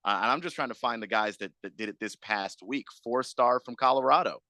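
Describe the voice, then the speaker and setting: A man's voice: mid-range voice, a little fast, bright, forceful tone, dry recording, clean studio sound. A man co-hosting live sports radio, casually running through player news. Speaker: radio co-host.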